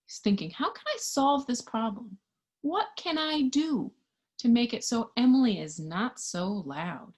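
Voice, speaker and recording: A woman reading a picture book aloud to young children, expressively, pausing to put questions to her listeners. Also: medium pitch, husky. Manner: expressive, animated, with hushed asides and questions to listeners. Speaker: storybook reader.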